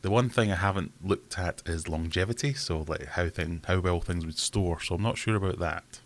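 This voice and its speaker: A man's voice: low voice, moderate pace. A man hosting a casual livestream from home, rambling and self-deprecating as he reads out chat comments. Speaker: livestream host.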